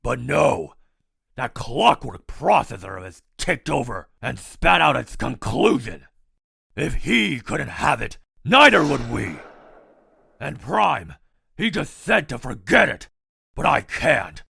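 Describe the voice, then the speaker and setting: A man voicing a furious, vengeful male robot dinosaur leader in a comic dub, talking loud, growling and aggressive. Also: medium pitch, big pitch swings, takes it slowly, vocal fry, breathy edge, no room sound, clean studio sound, heavily acted. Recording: dramatic music underneath.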